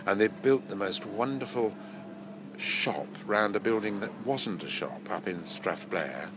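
An older actor and voice-over artist, nasal from a cold, reminiscing in a rambling, self-correcting way during an interview. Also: male voice, lowish voice, a little slow, background noise.

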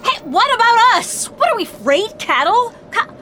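A stranded woman traveller in an audio drama, with a very high voice that swoops up and down. She complains in a sharp, exasperated way. Busy noise fills the scene behind her. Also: sing-song, measured pace, exaggerated voice. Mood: indignant. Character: indignant woman traveller stuck at an airport.

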